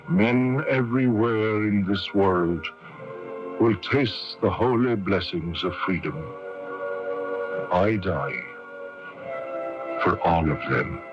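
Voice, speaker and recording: A man playing a solemn, noble patriot facing death in a radio drama, speaking gravely and declaiming his words. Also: sits low. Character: dying Irish patriot, solemn, noble, resolute martyr. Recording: dull 1980s radio broadcast, loud music behind.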